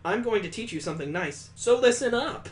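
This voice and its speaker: A man's voice: sits high, animated, fairly quick, soft background music, voice pushed up. A man voicing a bossy, nurturing big-sister girl from a visual novel in an emphatic, instructing way. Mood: cheerful, instructing.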